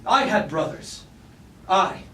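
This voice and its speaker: A man's voice: high voice, lively intonation, takes it slowly, sharp tone, exaggerated voice. A man playing a young, proud, vengeful robot warrior, speaking fervently in the archaic, declamatory style of a staged Greek tragedy. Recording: echoey room from far off, hiss.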